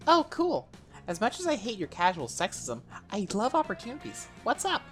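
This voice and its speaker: A woman voicing a wry, patient young monster girl with an expressive, slightly dry delivery in an amateur game dub. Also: mid-range voice, normal speed, faint music behind. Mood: wry, friendly. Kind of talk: amateur visual novel dub.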